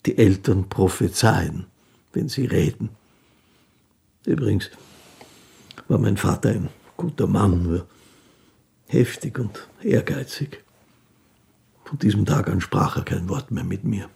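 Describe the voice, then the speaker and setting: Gentle old street musician in a radio play, low mellow voice, halting n old-fashioned, very devout. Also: male voice, expressive, vocal fry, heavily acted. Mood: wistful. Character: poor, devout, gentle old street fiddler recalling his life. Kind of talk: literary radio play monologue.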